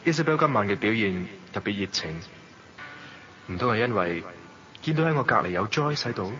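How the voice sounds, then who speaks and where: An actor playing a suave, worldly gentleman narrating and talking in a radio drama, with a Hong Kong Cantonese accent. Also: on the low side, lively intonation, a little fast. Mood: reflective, measured. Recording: dull lo-fi audio, noisy background.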